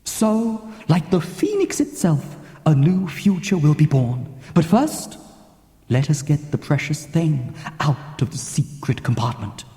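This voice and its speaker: A man's voice: on the high side, big pitch swings, unhurried, dark tone, voice pushed up. A man voicing an intense, tenderly urging, mysterious woman in a theatrical audiobook reading. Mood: tender, resolute. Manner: theatrical, addresses listener as beloved. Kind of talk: dramatic audiobook character reading. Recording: cassette audiobook with long reverb.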